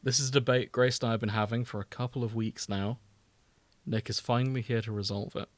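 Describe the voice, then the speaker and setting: A man's voice: medium pitch, fairly even intonation, fairly quick, no room sound. A man co-hosting a casual chat show, talking in a teasing, conversational way. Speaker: podcast co-host.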